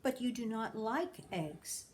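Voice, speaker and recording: A woman's voice: fairly high pitch, big pitch swings, slow pace, a little mellow, slight background noise. Woman reading as a patient storybook mother.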